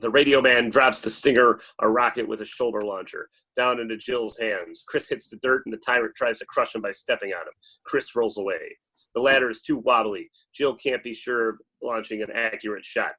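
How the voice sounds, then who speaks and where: A man's voice: mid-range voice, moderate pace, sharp tone, breathy edge, low-quality recording. A man reading stage directions as dramatic scripted narration at a table read.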